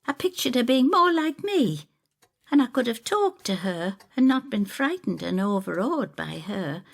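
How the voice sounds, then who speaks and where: A woman performs an older female neighbour for an audio story, pitched high and lively in range. She is anxious and bitter, plaintive and demanding, with a husky edge. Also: normal speed, full character voice. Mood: wistful.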